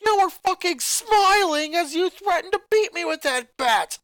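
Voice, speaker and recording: A high, furious eleven-year-old boy. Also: lively intonation, a little slow, no room sound, clean studio sound, heavily acted. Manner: ranting, accusing, voice rising to shouts. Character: angry eleven-year-old boy, fed up with his sisters. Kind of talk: fanfic reading.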